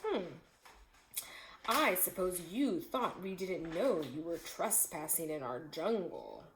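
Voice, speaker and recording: Give this woman's take on a menacing storybook tiger a smug, mock-polite taunt. The delivery is slow and swoops up and down, hungry and sly. It sounds recorded in an echoey room. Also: fairly low pitch, sing-song, pitched below the performer's own voice, exaggerated voice. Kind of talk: children's storybook read-aloud. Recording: echoey room with faint noise.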